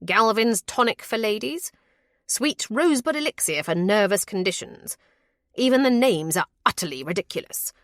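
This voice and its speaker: An earnest gentleman physician from a historical audiobook, acted out by a woman. The speech is formal, precise and emphatic, in a lowish, dry-recorded voice. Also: lively intonation, moderate pace, dry recording. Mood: heated, scornful. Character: earnest, exacting male physician, scornful of quackery. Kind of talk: audiobook character voice.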